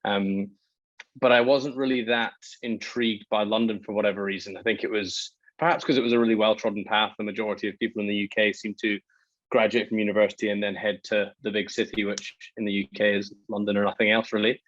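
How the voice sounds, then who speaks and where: A man's voice: lowish voice, flat delivery, fast, fairly bright tone, no echo, lo-fi sound. A Scottish man in his thirties, a startup founder talking through his career in a hesitant, self-deprecating way with lots of ums. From Scotland. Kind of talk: casual interview talk.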